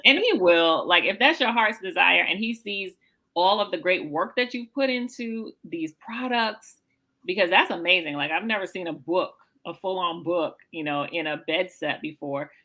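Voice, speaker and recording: A woman's voice: on the low side, normal speed, bright, forceful tone. A woman hosting a podcast in casual interview chat, with an encouraging, enthusiastic manner.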